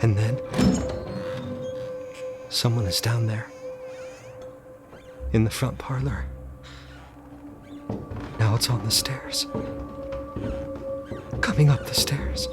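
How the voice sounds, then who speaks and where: An actor playing a haunted, anxious guest in a supernatural audio drama, speaking in halting, short phrases with a dramatic score behind him. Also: male voice, mid-range voice, loud music behind the voice, lightly acted. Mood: tense dread. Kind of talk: first-person audio drama narration with dialogue.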